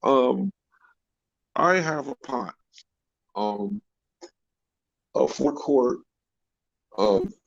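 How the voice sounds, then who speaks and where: A congested man with a deep, gravelly voice, talking bluntly and slowly in a casual chat, cursing freely. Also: expressive, no room sound. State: congested, coughing. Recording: dull dry lo-fi recording.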